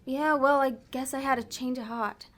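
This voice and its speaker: Actress as a high-voiced, blunt schemer. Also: female voice, fairly even intonation, normal speed, a bit bright. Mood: resolute, weary. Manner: blunt, terse, decisive. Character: bossy damned soul, cynical schemer turned softhearted. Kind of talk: audio drama acting.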